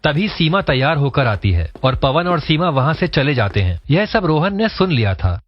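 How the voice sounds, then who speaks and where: A man's voice: a little high, very animated, moderate pace, a little hiss or hum. A man reading scripted narration for a cartoon story, in a dull lo-fi recording. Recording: dull lo-fi, dry sound.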